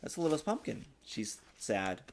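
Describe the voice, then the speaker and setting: A man reading a children's storybook aloud in an expressive, sing-song way, as a father does for his young child. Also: on the low side, moderate pace, gravelly. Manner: patiently answers child's interruptions. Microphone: desktop USB microphone.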